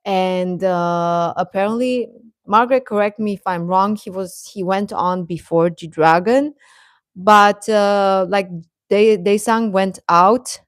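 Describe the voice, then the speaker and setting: A woman with a slightly low voice hosts a casual livestream, talking straight to her viewers. Her delivery is halting and conversational, with hesitations as she thinks aloud. The sound is close and dry, with little room in it. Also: dry recording. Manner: hesitant, halting, chuckles often while talking. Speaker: livestream host reading viewer comments. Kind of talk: casual livestream chat commentary.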